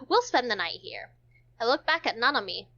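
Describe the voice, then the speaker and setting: A woman with a high voice reads a visual novel aloud on a stream. She slips between dramatic narration and casual banter. The audio is slightly dull and lo-fi. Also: sing-song, fairly quick, pushed and bright.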